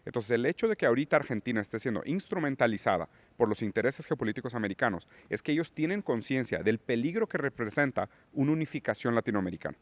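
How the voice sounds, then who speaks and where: A man's voice: mid-range voice, lively intonation, fast talker, hardly any pauses, dry recording. A man who is a guest on a political podcast, lecturing on theory and rising into emphatic points. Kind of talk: political conversation.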